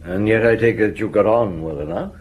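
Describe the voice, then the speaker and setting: An actor playing a shrewd, genial police chief detective in a radio drama, courteous and probing. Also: male voice, on the low side, brisk, lightly acted, low-quality recording.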